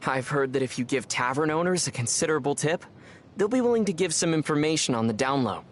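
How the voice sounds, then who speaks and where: A man's voice: on the high side, expressive, fast, slight background noise, lightly acted. A man voicing a young, curious, mild traveler from another world in a video game, musing and understated, with music behind. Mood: musing, conspiratorial. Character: young male otherworldly traveler, curious, mild, dryly puzzled. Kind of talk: video game character dialogue.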